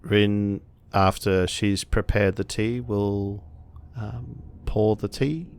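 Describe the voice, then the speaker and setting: A man's voice: lowish voice, fairly even intonation, slow pace, gravelly, clear, resonant tone. A man playing a tabletop roleplaying game, hesitantly narrating his characters' actions in the third person as he searches for words.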